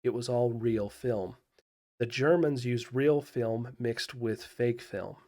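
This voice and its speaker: A man hosting a history video, giving unscripted explanatory commentary in a measured way with pauses mid-thought. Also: medium pitch, warm tone.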